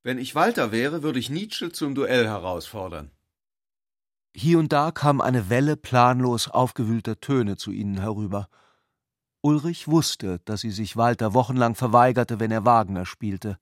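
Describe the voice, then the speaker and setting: A man's voice: medium pitch, animated, unhurried, dry recording, clean studio sound. A man reading scripted literary prose as the narrator of a radio adaptation.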